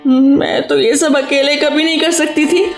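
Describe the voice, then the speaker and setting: A woman's voice: sits high, unhurried, echoey room, soft background music. A woman playing a chatty, effusive, cheerfully untidy housewife in a Hindi radio play. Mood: delighted, grateful. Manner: effusive, expressive.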